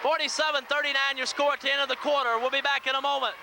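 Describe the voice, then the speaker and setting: Male radio sports announcer, very high voice, clipped basketball play-by-play, noisy old local broadcast with a clear crowd behind. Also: level tone, racing. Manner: clipped running call in short phrases. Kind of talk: live high school basketball play-by-play commentary. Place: school gym during a game. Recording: noisy 1980s local radio audio from VHS. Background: loud gym crowd and noise.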